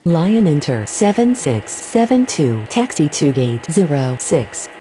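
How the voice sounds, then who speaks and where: A woman with a low voice delivers formulaic air traffic calls for a game. Her pitch moves in a lively way, and faint noise sits behind her. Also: unhurried. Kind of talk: scripted aviation radio phraseology.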